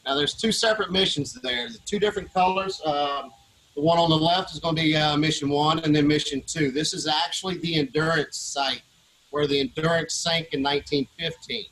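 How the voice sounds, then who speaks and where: A man with a Southern US accent, a team leader explaining his work to schoolchildren in a relaxed way with many fillers, aboard a ship. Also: on the high side, fairly quick, low-quality recording. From Louisiana, USA. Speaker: underwater vehicle team leader. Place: operations room on a ship.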